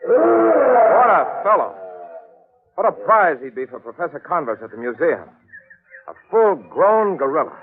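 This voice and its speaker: An actor playing a feverish, brooding gorilla hunter with a grave, dramatic delivery in a 1940s radio mystery. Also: male voice, high voice, big pitch swings, unhurried, vocal fry, pauses often, heavily acted. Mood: excited, determined. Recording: tinny vintage radio recording.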